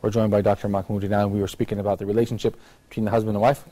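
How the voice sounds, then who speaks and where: A man's voice: lowish voice, little pitch movement, rapid-fire, dark tone. An American man hosting a talk show in a casual, conversational style. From United States. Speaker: live talk show host.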